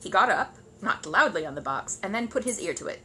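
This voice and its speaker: Bright, lively woman reading a storybook. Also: mid-range voice, a little fast, slight background noise, poor audio. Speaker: children's story reader. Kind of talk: animated storybook narration.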